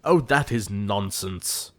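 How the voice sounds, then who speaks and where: An actor playing an earnest, fussy young gentleman in a comedy audio play. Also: fairly low pitch, expressive, slow pace. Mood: brisk, dismissive. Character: earnest, easily exasperated young English gentleman, lovesick.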